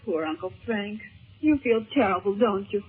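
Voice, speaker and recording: A woman's voice: mid-range voice, animated, moderate pace, slightly rough. A woman playing an anxious, tender-hearted teenage daughter in a 1930s radio serial, girlish, earnest and fretful. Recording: muffled, tinny 1930s radio recording.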